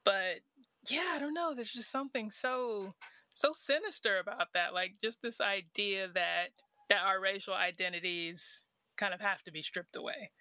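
A woman's voice: mid-range voice, moderate pace, pushed and bright, no echo. A woman hosting a conversation, speaking thoughtfully with many fillers. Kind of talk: reflective casual conversation.

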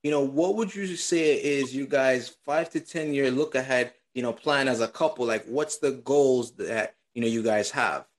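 A man hosts a relaxed podcast, cheering on his guests and asking questions with loose, filler-heavy phrasing. His voice sits at an ordinary pitch for a man. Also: moderate pace, few pauses. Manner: hypes up guests, lots of fillers. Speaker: podcast host. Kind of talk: casual podcast chat.

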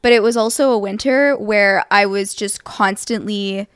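A young woman co-hosting a podcast, chatting casually with animated reactions and many fillers. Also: mid-range voice, unhurried, smooth, no echo, clean studio sound. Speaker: podcast co-host. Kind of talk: casual chat.

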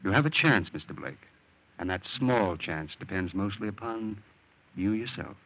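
An actor in an old radio drama playing a doctor who speaks in a measured, soothing but firm way. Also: male voice, lowish voice, expressive. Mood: calm, firm. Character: calm, guarded male country doctor. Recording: dull old radio recording.

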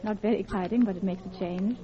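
An actress playing an earnest, polite, middle-class English wife in an old-time radio drama, with a genteel, hesitant manner. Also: female voice, mid-range voice, fast, natural voice. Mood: shy, friendly. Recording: muffled vintage radio recording with music.

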